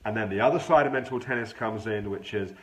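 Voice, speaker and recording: A man who has a cold, sounding nasal and gravelly, explaining a thought pattern in a measured, informal live stream. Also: fairly low pitch. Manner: measured, explains with everyday examples. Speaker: health clinic practitioner hosting a live stream.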